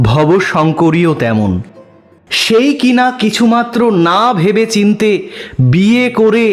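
A man narrating a literary story in the first person, slow and measured, with his pitch dropping low at the ends of phrases. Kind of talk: scripted audiobook narration.

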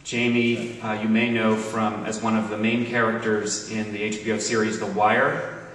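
Guy doing cast intros, halting and flat, way echoey hall mic. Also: lowish voice. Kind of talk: spoken introductions to an audience. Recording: echoey hall, distant microphone.

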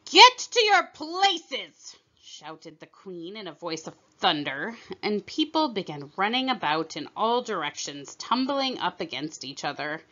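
A woman's voice: lowish voice, sing-song, normal speed, hardly any pauses, a bit bright, muffled recording. A woman storyteller reading a scripted children's narration aloud.

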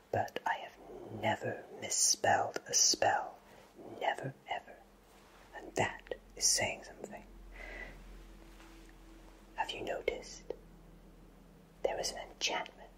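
A man playing a friendly, absent-minded wizard who runs a potion shop, speaking in a soft breathy whisper for an ASMR roleplay, gently and reassuringly. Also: mid-range voice, heavily acted, rough recording. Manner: slow, gentle, hesitant, reassuring.

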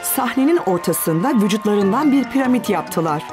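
A woman's voice: mid-range voice, lively intonation, normal speed, lightly acted. A woman reading scripted narration for a children's cartoon. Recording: music under the voice.

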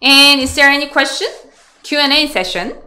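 A Korean woman in her thirties, a Go teacher answering chat questions on a webcam video call, chatty and halting in English with a Korean accent. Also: sits high, sharp tone, low-quality recording. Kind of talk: live online lecture Q&A. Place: small room indoors.

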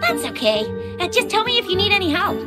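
A woman's voice: extremely high pitch, big pitch swings, fast talker. A woman playing an upbeat, friendly young patroller who is eager to help, in a video game. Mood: concerned. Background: game music.

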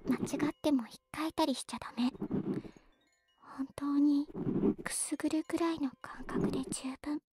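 A woman playing a gentle, doting older sister in an anime ASMR roleplay, speaking softly and breathily, often in a whisper. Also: high-pitched, steady tone, normal speed, faint music behind, close to an everyday voice. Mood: soothing, doting.

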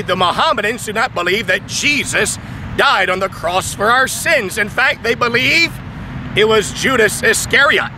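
A man's voice: sits high, pushed and bright. A man in his thirties preaching in an open park at dusk, speaking into a handheld mic with emphatic, repetitive storytelling. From Thailand. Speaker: street preacher. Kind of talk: outdoor sermon storytelling. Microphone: handheld mic, camera at mid distance. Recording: outdoor recording with clear traffic noise. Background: city traffic.

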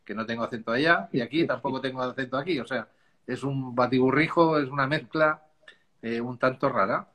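A man in his fifties from Spain with a Spanish accent softened by years in Chile, a winemaker chatting casually and at length on a video call. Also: mid-range voice, fairly quick. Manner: chatty, rambling, laughs easily. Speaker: winemaker guest. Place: dark room at home. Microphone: video call, laptop camera.

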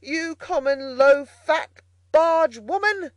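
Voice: mid-range voice, very animated, measured pace, darkish tone, no room sound. A narrator voicing a conceited, hot-tempered male toad from a storybook, pompous and indignant. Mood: furious, pompous.

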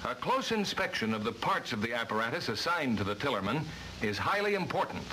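A man's voice: mid-range voice, sing-song, brisk, pushed and bright, slight background noise, poor audio. A man reading formal, precise instructional narration for a vintage training film, on a worn old soundtrack.

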